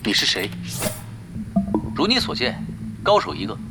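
A laid-back veteran gamer captain in an audio drama. He teases and gives orders with casual authority and lively inflection, over loud music. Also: mid-range voice, measured pace, creaky, full character voice. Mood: smugly teasing. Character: laid-back, wry veteran male gamer team leader. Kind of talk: Chinese audio drama acting. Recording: loud music bed behind the voice.

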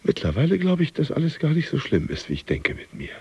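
A disgruntled office worker in a radio play. His voice is husky and gravelly, swinging between grumbling emphasis and breathy muttering, neurotic and brooding. The recording is a little dull, with faint music behind. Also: on the high side, huge pitch swings, fast talker, dark tone, full character voice. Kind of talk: radio drama monologue.

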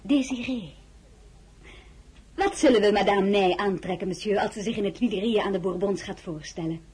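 An actress playing a shrewd, spirited merchant's daughter with a firm, polite shop manner in a radio drama. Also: female voice, mid-range voice, darkish tone, breathy edge. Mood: firm, cool. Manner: brisk, firm, polite saleswoman.

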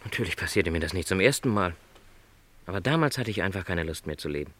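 An actor playing a lonely middle-aged travelling salesman in a radio play, a gentle, resigned dreamer with a reflective, apologetic way of talking. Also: low-pitched, animated, fast. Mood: resigned, thoughtful. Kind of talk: literary radio play dialogue.

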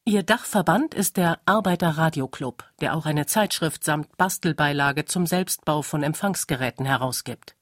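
A documentary narrator with a mid-pitched voice that has a husky grain. The delivery is scripted reading. The recording is dry, with no room sound. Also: normal speed, few pauses, fairly bright tone, no echo.

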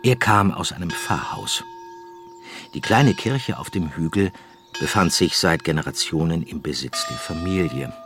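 Man, very low voice, measured literary narration, radio drama, prominent music underneath. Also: animated. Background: music behind the voice.

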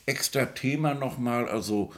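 A man speaking as a music expert, explaining off the cuff in a halting, digressive way, often tagging his phrases with a questioning word. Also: mid-range voice, a bit bright. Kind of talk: unscripted interview-style reminiscing explanation.

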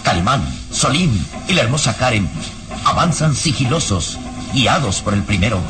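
A male narrator telling a radio serial in steady, vivid phrases at a middle pitch. Strong music runs beneath his voice throughout. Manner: measured, vivid storytelling. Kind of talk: scripted radio drama narration.

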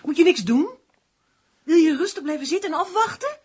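An actress in a radio drama playing a tense, sharp-tongued crime novelist who is haughty and demanding. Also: female voice, very high-pitched, expressive, a little fast. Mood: furious.

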